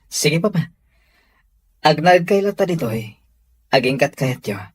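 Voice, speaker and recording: A man's voice: on the high side, lively intonation, unhurried, slightly rough, no room sound. An actor with a Filipino accent playing a devoted, tender young man in a radio drama. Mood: respectful.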